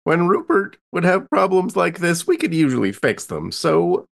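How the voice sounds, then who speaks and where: Man putting on a high, helpful older lady. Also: huge pitch swings, moderate pace, gravelly, exaggerated voice. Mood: helpful, wistful.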